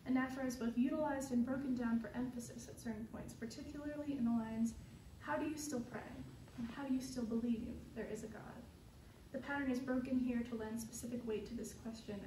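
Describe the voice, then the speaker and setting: A woman's voice: fairly high pitch, warm tone. A young adult woman giving a scripted explainer as a student presenter. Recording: roomy, noisy recording, distant mic.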